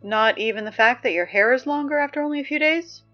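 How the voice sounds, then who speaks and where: Woman playing a high-pitched, chatty teen school announcer. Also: muffled recording, close to an everyday voice. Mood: insistent, prying. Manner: wry, conversational, halting, pushy. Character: exasperated, chatty high school student announcer during an apocalypse. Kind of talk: audio drama acting as morning announcements. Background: music.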